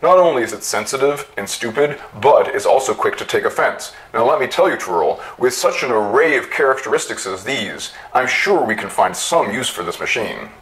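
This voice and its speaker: A pedantic expert inventor, as a male reader puts him on in a storybook tale. He reasons things out in a measured, wry, explanatory way. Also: medium pitch, expressive, fairly bright tone, natural voice, rough recording. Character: pedantic, reasonable male constructor friend, self-declared expert.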